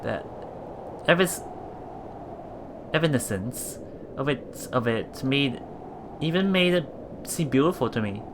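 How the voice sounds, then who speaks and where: A man reading a visual novel aloud for a Let's Play, expressive and dramatic in first-person narration. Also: mid-range voice, slow pace, pauses often, background noise. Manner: expressive, dramatic, with murmured reactions.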